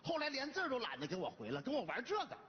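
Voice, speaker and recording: A middle-aged father, exasperated and quick with a blunt wisecrack. The voice runs high and very animated, with a northern Chinese Mandarin accent and theatrical comic scolding. It rings out in a big reverberant hall. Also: full character voice. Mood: indignant scolding. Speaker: comedy sketch actor. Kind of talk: theatrical comic sketch acting. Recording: echoey hall, dull sound, faint noise.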